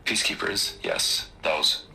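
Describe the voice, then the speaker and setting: A man's voice: slow pace, sharp tone, soft background music, rough recording. A man playing an evasive, defensive corporate tycoon under pressure in a video game, hesitant and hedging. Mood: uneasy. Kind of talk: dramatic video game acting.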